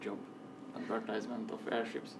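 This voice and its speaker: A young man from Hungary in his twenties, Hungarian native, giving quiet brief answers as a panel guest. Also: little pitch movement, a little fast. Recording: distant mic with rumble.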